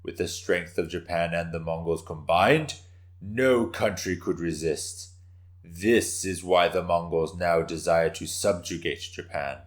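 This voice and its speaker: A man's voice: low-pitched, a little slow. A man voicing a boastful, fiery samurai warrior, declaiming grandly and powerfully in a dramatic story reading. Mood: solemn, forceful.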